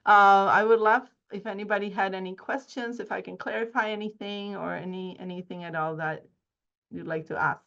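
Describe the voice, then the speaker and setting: A woman's voice: mid-range voice, steady tone, moderate pace, creaky, a bit bright. A woman from the Czech Republic, a native Czech speaker, giving a research presentation in an earnest way with many fillers and restarts. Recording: dull lo-fi recording.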